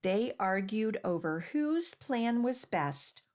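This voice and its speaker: A woman hosting a children's storytime, reading aloud and leading rhymes with clear, deliberate phrasing for young listeners. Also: medium pitch, very animated, darkish tone. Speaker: children's library storytime host.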